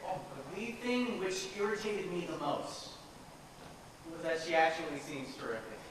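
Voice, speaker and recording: A man's voice: a little high, animated, moderate pace, lightly acted. A man playing an exasperated, sarcastic young grandson in an amateur stage comedy. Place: hall stage, distant camera. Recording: distant, echoey, very noisy stage recording.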